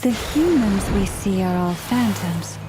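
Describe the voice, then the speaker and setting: A woman voicing a composed, thoughtful young adventurer in a video game, calm, observant and deliberate. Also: mid-range voice, natural voice. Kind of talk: video game character voice acting. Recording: game music behind voice.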